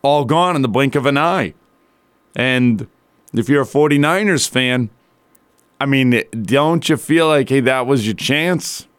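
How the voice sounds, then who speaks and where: A man with a slightly rough, strained voice hosting a sports talk radio show in a casual, rambling, conversational way. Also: medium pitch, unhurried, dry recording. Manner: colloquial, rambling, conversational asides.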